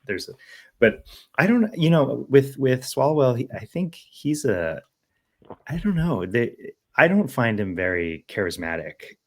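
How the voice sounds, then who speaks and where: A man's voice: mid-range voice, very animated, unhurried. An American man co-hosting a podcast in casual political discussion, thinking aloud and often checking for agreement. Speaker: podcast co-host.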